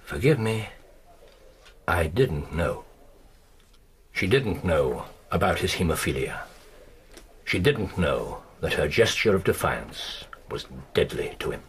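An actor playing an incisive, commanding British detective in a radio drama, with precise, theatrical delivery and dramatic pauses. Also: male voice, deep voice, big pitch swings, a little hiss or hum, full character voice. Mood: grave. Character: incisive, commanding male detective laying out a case. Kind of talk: BBC radio drama acting.

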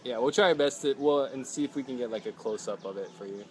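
A man in his thirties chatting on a phone livestream outdoors, upbeat and animated, telling stories full of "like"s. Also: medium pitch, quick pace, poor audio. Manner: upbeat, animated storyteller, many "like"s. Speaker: travel vlogger. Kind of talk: casual live chat. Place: outdoors at a home stay patio. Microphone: phone held close.

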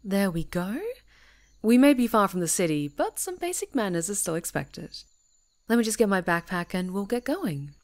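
A woman voicing a friendly young woman camping alone on a retreat, chatty and teasing and quick to laugh, in a scripted audio roleplay. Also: medium pitch, very animated. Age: young adult. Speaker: ASMR roleplay performer.

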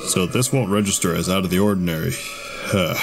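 A man's voice: low-pitched, steady tone, fairly quick, over music. A man playing a friendly, bewildered demon prisoner in an audio drama, with a casual, slangy manner. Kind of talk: audio fiction acting.

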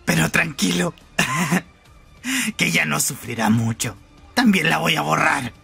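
Man playing young male anime villain, very gravelly, possessive, menacing, lively pitch. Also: takes it slowly, soft background music, heavily acted. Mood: gloating. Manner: menacing, gloating.

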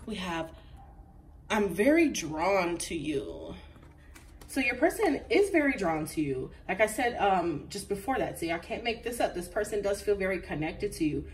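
A woman's voice: lowish voice, recorded from a distance, a little hiss or hum. A woman reading tarot cards to camera in a casual, chatty way, a little congested from a recent flu, with frequent ums and laughs. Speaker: tarot reader. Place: indoors at a table with crystals.

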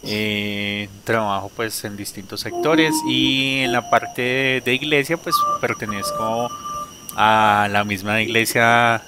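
A man in his thirties, a guest sharing his faith story on a video call through a headset, talking warmly with frequent laughs and fillers. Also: fairly low pitch, fairly even intonation, slow, very noisy. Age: 30s. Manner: warm, laughs often, hesitant fillers. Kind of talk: personal testimony in an interview.

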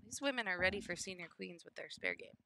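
Gravelly-voiced, giggly female bowling commentator. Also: lowish voice, lively intonation, fast, pushed and bright. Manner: chatty, giggly, easily sidetracked. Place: commentary booth at a bowling center.

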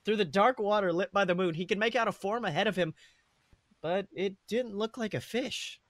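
A man with a slightly high voice narrates a story as a podcast host. He sounds amused by what he reads. The recording is close and dry. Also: moderate pace, no echo. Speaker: podcast host reading fan fiction aloud.

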